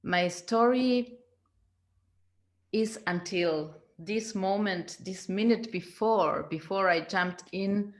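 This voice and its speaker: Slow-talking woman in her fifties. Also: medium pitch. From Argentina. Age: 50s. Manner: slow, searching, repeats words. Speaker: guest, leadership coach. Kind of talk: interview answers. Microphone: video call.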